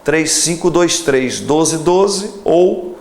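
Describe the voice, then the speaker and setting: A man's voice: fairly high pitch, very slow, a little mellow. A pastor in his forties preaching a televised sermon and leading a fervent prayer, stretching out emphatic phrases. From Brazil. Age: 40s.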